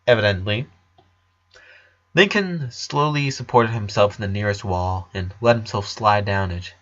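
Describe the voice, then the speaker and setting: A man narrating fan fiction from a script, reading haltingly with stumbles and repeats. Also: on the low side, a bit bright, muffled recording. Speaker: story narrator.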